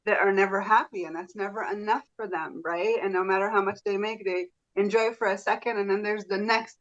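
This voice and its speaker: A woman's voice: medium pitch, fairly even intonation, a little fast, a bit bright. Enthusiastic woman podcast host with a gushing delivery, on slightly dull audio. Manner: effusive, enthusiastic. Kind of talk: podcast interview.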